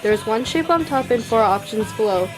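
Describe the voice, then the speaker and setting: A woman's voice: fairly high pitch, animated, a little fast, over music, low-quality recording. A young woman plainly reading out the steps of a class experiment. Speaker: student presenter. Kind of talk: reading a narration script.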